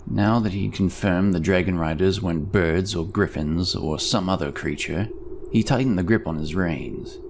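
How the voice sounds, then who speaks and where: A man narrating a scripted fantasy audio story in a measured, dramatic way. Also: deep voice, gravelly, background noise. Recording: music behind the voice. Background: music.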